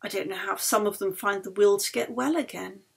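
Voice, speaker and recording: A woman's voice: medium pitch, steady tone, fairly quick, gravelly, breathy edge. A woman voicing a courteous male hospital doctor, earnest and effusive, in an audiobook.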